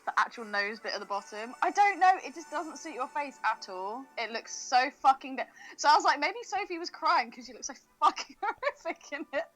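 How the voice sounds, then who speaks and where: A woman's voice: sits high, lively intonation, fairly quick, few pauses, a bit bright, faint music behind, low-quality recording. A woman co-hosting a podcast in casual chat, teasing and swearing freely as she banters. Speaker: podcast co-host.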